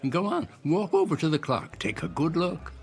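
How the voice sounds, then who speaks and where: An actor playing a sly, teasing and mysterious gentleman in a radio drama, with formal, theatrical diction. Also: on the high side, very animated, moderate pace.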